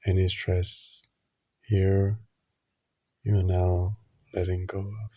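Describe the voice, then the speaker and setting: A man leading a guided meditation, giving gentle instructions in short phrases with long pauses. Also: deep voice, little pitch movement, no echo, highs cut off.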